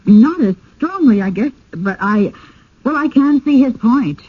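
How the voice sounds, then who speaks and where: An actress playing a mild, reasonable wife in a radio drama, speaking in a hesitant, placating way. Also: female voice, high-pitched, lively intonation, measured pace, slightly rough, heavily acted. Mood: conciliatory. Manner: hesitant, conciliatory. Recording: dull lo-fi old radio broadcast.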